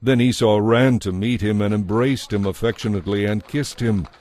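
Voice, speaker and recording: A man narrating a dramatized audio Bible in a measured, scripted storytelling style. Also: lowish voice, darkish tone.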